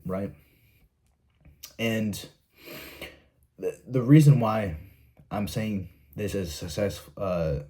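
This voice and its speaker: A man's voice: fairly low pitch, warm tone, breathy edge. A young man giving blunt, profane self-help advice to his viewers in a casual monologue, stopping often to ask "right?".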